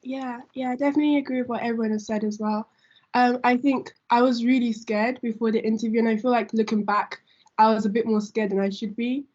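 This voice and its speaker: Young female student host, chatty and filler-heavy; slightly high voice, muffled recording. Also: steady tone, a little fast, darkish tone. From Nigeria. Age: young adult. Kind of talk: casual group chat. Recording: dull lo-fi audio.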